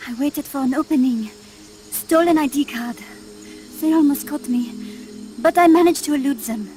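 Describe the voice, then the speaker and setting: A woman acts out a stranded French birdwatcher in a video game, her voice high and French-accented. She is earnest and plaintive, with music playing behind her. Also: normal speed, mellow, close to an everyday voice. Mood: earnest, recounting. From France. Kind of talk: video game cutscene acting.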